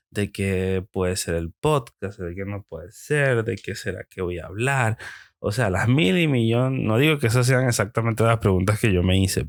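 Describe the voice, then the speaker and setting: A young man with a Venezuelan accent speaks slowly in a very low voice. He hosts a solo podcast and thinks aloud, often stopping on ums and restarting. The sound is dry and close, with no room around it. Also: no room sound. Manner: hesitant, with frequent ums and pauses. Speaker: podcast host. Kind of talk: solo reflective monologue.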